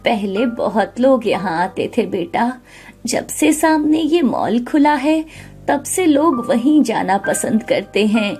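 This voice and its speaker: A woman voicing a kindly older male shopkeeper, plain-spoken and fatherly, in an animated story. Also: a little high, slow pace, soft background music. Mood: worried.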